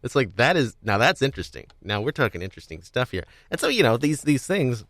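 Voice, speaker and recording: A man's voice: mid-range voice, big pitch swings, fast, fairly bright tone, dry recording, clean studio sound. A man hosting a casual podcast discussion, talking in a rambling way and often rephrasing himself. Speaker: podcast host.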